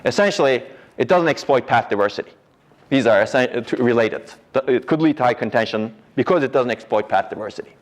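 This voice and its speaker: A middle-aged man teaching in a university lecture hall, walking and pointing as he explains. He talks in a patient, explanatory way, often pausing to ask "right?" and restarting his sentences. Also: mid-range voice, lively intonation.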